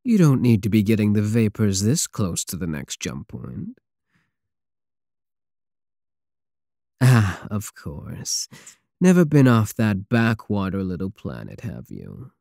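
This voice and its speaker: Pirate king for ASMR roleplay, male actor: big, possessive, sardonic teaser, unhurried delivery, dry close sound. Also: fairly low pitch, huge pitch swings, creaky, dark tone, no room sound, full character voice. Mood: amused, seductive. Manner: slow, teasing, purring endearments, sardonic. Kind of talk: intimate ASMR roleplay acting.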